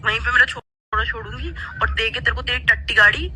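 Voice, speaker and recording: Sharp-voiced defiant young woman, recorded call. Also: fairly high pitch, fairly quick. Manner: defiant, scolding, repetitive. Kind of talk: heated personal argument.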